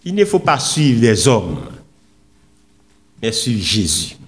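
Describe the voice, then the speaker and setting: A man preaching a sermon in an oratorical, emphatic manner, with deliberate pauses and repeated phrases. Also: mid-range voice, sing-song. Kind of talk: sermon with scripture readings and rhetorical questions.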